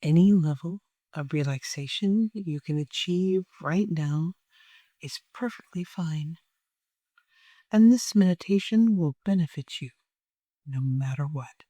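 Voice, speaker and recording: A person leading a guided meditation in a gentle, soothing way, with long unhurried pauses. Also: mid-range voice, dry recording.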